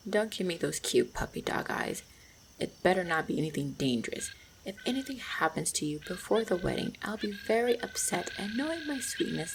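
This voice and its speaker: Casting: woman as a gentle orc huntress and devoted fiancée for fantasy roleplay audio, low-pitched with gravelly fry, soft and reassuring. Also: slight background noise. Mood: playful scolding. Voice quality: husky, creaky low voice. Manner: tender, reassuring, softly spoken. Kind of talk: roleplay audio drama, intimate monologue to the listener.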